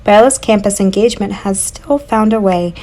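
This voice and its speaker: A woman narrates a news segment in a mellow, warm tone. Her reading is even and informative. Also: medium pitch, normal speed. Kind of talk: scripted news voice-over.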